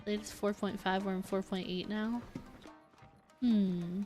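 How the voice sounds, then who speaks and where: A woman's voice: mid-range voice, steady tone, unhurried. A woman giving casual live gameplay commentary, talking to herself and exclaiming. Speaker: game streamer. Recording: game music behind. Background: video game music.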